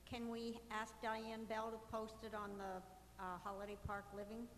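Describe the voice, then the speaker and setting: A woman resident at a community meeting, asking loud, pressing questions. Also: on the high side, little pitch movement, normal speed, a little hiss or hum. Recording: reverberant hall, faint background.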